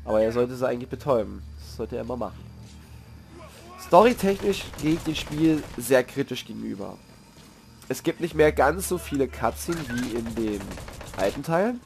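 A man's voice: medium pitch, animated, moderate pace, creaky, darkish tone, faint music behind. Rambling man with a cold. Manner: rambling, loose, with fillers and slang asides. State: has a cold. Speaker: gamer giving a review. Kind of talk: casual game commentary over gameplay.

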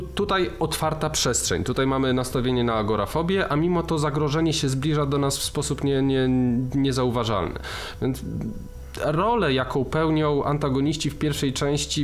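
A man's voice: medium pitch, normal speed, faint music behind. A man co-hosting a film podcast, talking through his ideas with many restarts and fillers. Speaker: podcast co-host. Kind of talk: casual film discussion.

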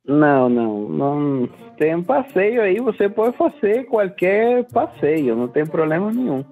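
A man from a tour agency whose first language is not Portuguese, answering calmly. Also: a little high, unhurried, soft background music. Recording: phone line.

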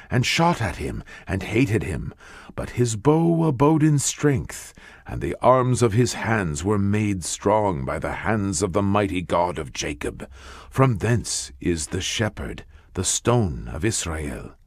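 A man narrating scripture as an audiobook, with a solemn, measured and declamatory delivery. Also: fairly low pitch, dry recording, studio quality.

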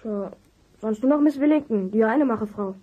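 A female performer playing a cheeky, eager child sleuth in an audio drama, with a husky voice and a confident, chatty manner. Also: a little high, fairly quick, phone-quality sound. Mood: confident, helpful. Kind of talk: German audio drama acting.

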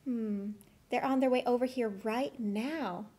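Woman playing a high-voiced, earnest farm collie. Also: unhurried, dark tone. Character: dutiful female farm collie watchdog puppet. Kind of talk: children's puppet show.

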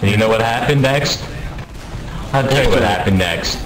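A man telling a mock-dramatic comic story over game voice chat, pompous and deliberate, with profanity thrown in. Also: lowish voice. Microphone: gaming voice chat microphone. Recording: roomy, noisy game voice-chat audio.

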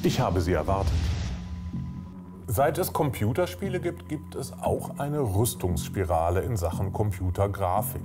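Ein Mann moderiert mit vorbereitetem Text ein Magazin. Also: male voice, on the low side, animated, measured pace. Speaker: show host. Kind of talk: scripted presenting. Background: loud music.

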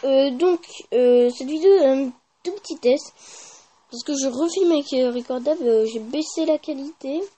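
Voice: sits high, normal speed, dark tone. A gaming YouTuber giving casual, informal gameplay commentary with slang and swearing.

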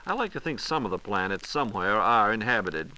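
A man's voice: lowish voice, very animated, brisk, pushed and bright, poor audio. An American man hosting a scripted 1940s radio program in a polite, orderly announcer's manner. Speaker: radio host. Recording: 1940s radio transcription disc.

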